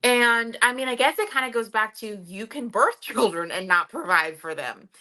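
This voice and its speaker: Young woman from Cameroon, bright tone, chatty podcast guest. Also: a little high, expressive, moderate pace. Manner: chatty, many fillers, laughs while telling her story. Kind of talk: casual podcast interview.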